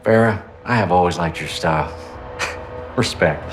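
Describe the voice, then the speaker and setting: An actor playing a swaggering private military commander in a game cutscene, with a folksy, smooth-talking manner. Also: male voice, sits low, a little slow. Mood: breezy, sly. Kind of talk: cinematic game cutscene acting. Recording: loud music behind the voice.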